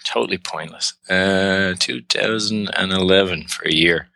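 A man's voice: sits low, a little slow, vocal fry, bright, forceful tone. An Irish man, a podcast guest, chatting casually with joking, self-deprecating humor. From Ireland. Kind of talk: casual interview chat.

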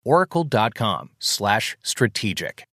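A man's voice: on the low side, big pitch swings, measured pace, a bit bright, no room sound. Male radio ad announcer, dry studio sound. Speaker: commercial announcer. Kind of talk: scripted radio ad narration.

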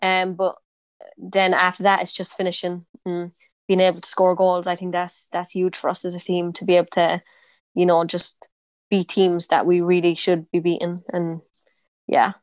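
Brief: twenties woman, Cork accent, flat even delivery, smooth voice, dry close sound, easygoing interviewee with fillers. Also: lowish voice, normal speed, no room sound. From Ireland. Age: 20s. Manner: relaxed, many fillers and restarts. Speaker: interviewee, footballer. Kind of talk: casual interview.

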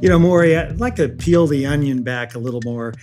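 A man's voice: on the high side, fairly quick, music in the background. A man hosting an interview, asking conversational questions in a probing, encouraging manner. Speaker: interview host.

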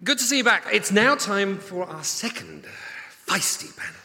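Male event host, higher-pitched and very animated, mock-grand stage introductions, live hall sound. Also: moderate pace. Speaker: event announcer introducing a panel. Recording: echoey hall with long reverb.

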